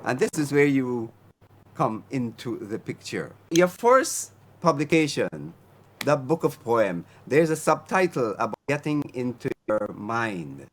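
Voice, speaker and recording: An older man hosting an interview program, speaking in a measured, emphatic way that lingers on key words. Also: medium pitch, sing-song, a little hiss or hum. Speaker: radio program host. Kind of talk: formal interview introduction.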